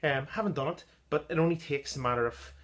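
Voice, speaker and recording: A man in his thirties talks through a beer he brewed at home, in a relaxed, halting way with frequent ums. He is recorded indoors in a small room on a camera close in front of him. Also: on the high side. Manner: chatty, halting with many ums, tasting notes. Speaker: home-brew beer reviewer. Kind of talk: casual beer review vlog. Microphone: device camera at close range.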